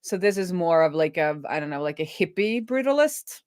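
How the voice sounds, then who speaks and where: A woman's voice: lowish voice, lively intonation, brisk, a little mellow, smooth, no echo, clean studio sound. A woman hosting a casual coding livestream, thinking aloud informally as she works. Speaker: livestream host, web developer. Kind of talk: casual live coding commentary.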